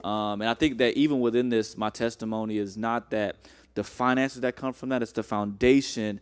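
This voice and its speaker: A man sharing a casual church testimony, halting and reflective with many restarts. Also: mid-range voice, steady tone, smooth. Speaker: church member guest.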